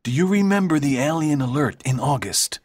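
A man playing an earnest, animated schoolboy. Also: fairly high pitch, moderate pace, husky. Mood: excited. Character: excitable, thoughtful schoolboy friend in a story. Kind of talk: dramatised audiobook dialogue.

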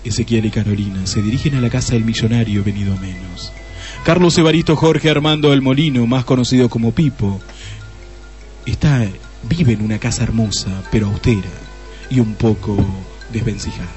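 A man's voice: medium pitch, fairly quick, a little mellow, faint music behind. A man reading scripted narration for a radio play.

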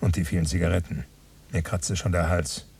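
An actor playing a weary gambler who tells of his night at the dice table in a terse, dry radio drama monologue, with a husky voice. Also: low-pitched, level tone, fairly quick, far from the mic, heavy background noise. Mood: exhausted. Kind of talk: radio drama narration, first-person monologue.

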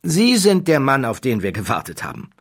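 Man playing a reserved, clear-voiced gentleman count. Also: mid-range voice, wildly swooping pitch, fairly quick, no room sound, clean studio sound, voice pushed up, full character voice. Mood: courteous, regretful. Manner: polite, restrained, formal. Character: modest, reserved aristocratic gentleman card player, a feigned count. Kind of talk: audiobook character dialogue.

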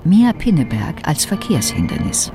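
A showy announcer in a radio play, proclaiming at a high pitch. Music plays clearly behind him. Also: male voice, wildly swooping pitch, moderate pace. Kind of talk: dramatic chapter announcement.

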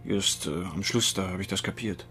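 An actor playing a troubled former drinker, wrongly convicted, confessing haltingly in a crime radio drama, with a husky voice. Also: male voice, sits low, sharp tone, soft background music. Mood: hesitant, confessional. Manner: halting, brooding.

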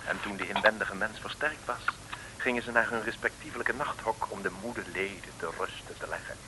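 Low-voiced man narrating an old radio serial. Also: a little fast. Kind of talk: scripted storytelling narration for 1960s radio. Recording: noisy, clicky old radio recording.

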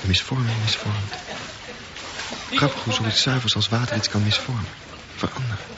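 Radio drama lead: dreamy, wondering teenage boy played by a man, unhurried musing delivery, muffled audio with clear noise. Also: on the low side, fairly even intonation, lightly acted. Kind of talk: radio drama acting, reflective monologue. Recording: dull, noisy old radio recording.

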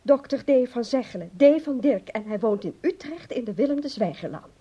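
Defensive secretary, radio drama, high mellow breathy voice, muffled recording. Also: female voice, lively intonation, fairly quick. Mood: defensive, reluctant. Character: defensive, long-serving female secretary. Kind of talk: Dutch radio play acting. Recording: dull lo-fi radio play recording.

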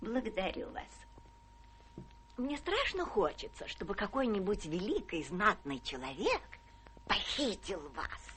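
An actress in a Russian radio play playing a rich, capricious, imperious old English spinster with a blunt theatrical manner. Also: female voice, medium pitch, big pitch swings, unhurried, fairly bright tone, a little hiss or hum. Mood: gushing, commanding.

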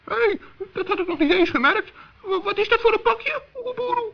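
A man playing a small, kindly but strict gnome in a children's radio play, squeaky and earnestly scolding. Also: lively intonation, brisk, vocal fry, full character voice. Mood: curious, suspicious. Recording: dull old radio play recording.

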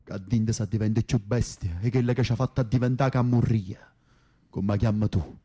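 A man's voice: fairly low pitch, steady tone, moderate pace, dark tone, natural voice. An actor in an Italian radio drama playing an earthy mob henchman in prison, blunt and colloquial, with a Neapolitan accent.

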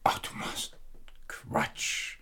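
A man's voice: very slow, bright, forceful tone, whispered, heavily acted. An actor playing an evasive, flirtatious man in his mid-thirties in a radio play. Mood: hesitant, surprised. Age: 30s. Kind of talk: German radio play dialogue.

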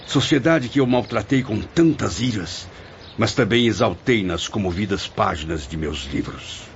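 An actor in a radio drama playing an anguished, fearful spirit of a writer, speaking in formal, solemn language. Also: male voice, lowish voice, animated, moderate pace, gravelly, slight background noise. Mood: fearful, troubled. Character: Camilo, anguished, fearful male writer's spirit, long dead by suicide. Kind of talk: Brazilian radio drama acting. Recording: dull lo-fi radio drama recording, music bed. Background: music.

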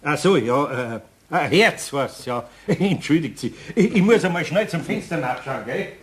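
A man's voice: fairly high pitch, animated, normal speed, slightly rough. An actor playing a good-natured older master craftsman with a Bavarian accent in a children's radio play, hesitant and easily flustered. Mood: flustered, apologetic.

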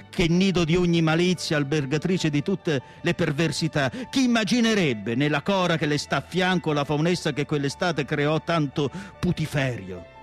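An actor playing an anguished, indignant and nostalgic asylum psychiatrist in a declamatory radio theatre monologue. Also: male voice, a little high, lightly acted. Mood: brooding, wistful. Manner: declamatory, rhetorical questions, emphatic lists. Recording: music playing behind the voice. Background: music.

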